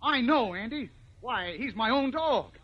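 An earnest outdoorsman hero in an old radio adventure. He speaks high for a man, with lively swings of pitch and emphatic reasoning, on a muffled vintage recording. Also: unhurried, exaggerated voice. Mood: earnest, insistent. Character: earnest outdoorsman hero defending his dog. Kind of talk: 1950s radio drama acting.

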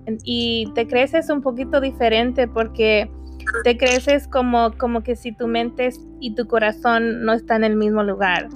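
A woman's voice: a little high, fairly even intonation, a little slow, faint music behind. A woman hosting an interview, talking earnestly and searching for her words. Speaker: host. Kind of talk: casual interview talk.

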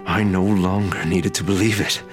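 An actor playing Quasimodo, a lonely male bell-ringer turned guardian, speaking in a measured, quietly sad way in a radio drama. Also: deep voice, steady tone, faint music behind, close to an everyday voice. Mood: solemn.

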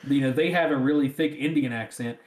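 A man's voice: medium pitch, a little fast, a little mellow. A man with a rural Southern American accent, chatting casually. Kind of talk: casual chat. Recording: roomy, echoing sound.

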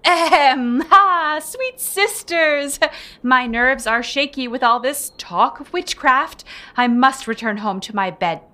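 A woman acting an impatient, quietly rebellious young Puritan, dry and sardonic, in a comedy audio drama. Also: high voice, slow pace, heavily acted. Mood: feigned politeness. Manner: deadpan, sardonic.

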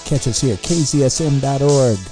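A man's voice: medium pitch, moderate pace, over music. A man reading a promo spot for his vinyl radio show. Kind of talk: station promo.

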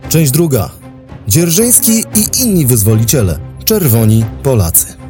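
A man's voice: medium pitch, big pitch swings, unhurried, warm tone, soft background music. A man narrating a history documentary from a script, as its host.

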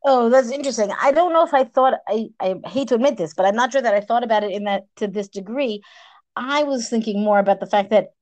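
A woman's voice: on the high side, quick pace, a little mellow, no echo. A woman co-teaching a study session, reflecting aloud with hesitant restarts. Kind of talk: study discussion.

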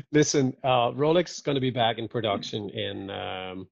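A man with a gravelly, somewhat husky voice chats loosely on a podcast. He jokes and tells stories with lively energy. The recording is dry, dull and lo-fi. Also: medium pitch, unhurried, no room sound. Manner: joking, lively, chatty storyteller. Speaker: podcast guest. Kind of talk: casual chat. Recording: dull lo-fi audio.

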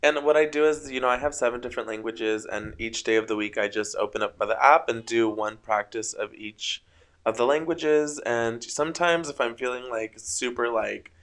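A man's voice: fairly low pitch, creaky, fairly bright tone. A young man whose first language is Spanish hosts a casual solo podcast late at night, rambling about spiritual ideas and often tripping over his words. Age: young adult. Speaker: podcast host.

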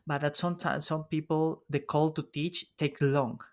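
A man from Mexico with a Mexican accent, hosting a podcast interview and pausing to search for his words. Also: a little high, fairly even intonation. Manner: searching for words, halting, many restarts. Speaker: podcast host.

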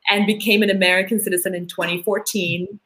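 A woman's voice: mid-range voice, flat delivery, fast, fairly bright tone, poor audio. A woman from Tanzania, a school board candidate, answering interview questions in an eager, chatty way, full of "like" and "you know".

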